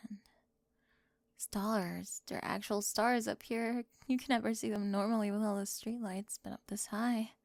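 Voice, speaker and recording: Woman, ASMR roleplay, sharp-tongued teasing, whispers and soft talk, close mic, dry sound. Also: medium pitch, steady tone, a little slow, gravelly, no echo.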